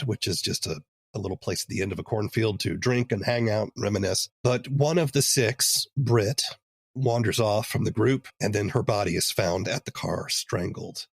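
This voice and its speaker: A man's voice: lowish voice, gravelly, breathy edge, no room sound. A man hosting an interview podcast in a conversational style.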